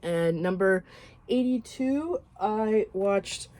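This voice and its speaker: A woman with a voice roughened by a cold, chatting frankly and loosely as she runs through a list of films. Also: medium pitch, lively intonation, slow, darkish tone, slight background noise. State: hoarse from a cold. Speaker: horror film vlogger. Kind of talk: casual movie recap.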